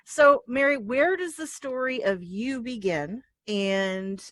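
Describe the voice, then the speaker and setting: Bubbly higher-voiced woman host. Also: animated, slow pace, fairly bright tone, no echo. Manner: bubbly, laughs often, effusive. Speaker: podcast host. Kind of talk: casual interview chat.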